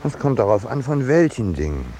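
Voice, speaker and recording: An actor playing a peaceable, unworldly landscape painter in a 1950s German radio play, drily polite and unruffled with a quiet irony. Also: male voice, mid-range voice, big pitch swings, lightly acted. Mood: dry, unruffled. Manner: dry, polite, unhurried irony. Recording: noisy 1950s radio drama recording.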